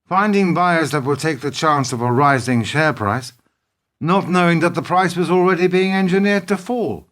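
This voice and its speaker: Guy acting high voiced idealist businessman in a radio play, earnest n indignant, really making his case. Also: normal speed, a bit bright, close to an everyday voice. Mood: grim realization.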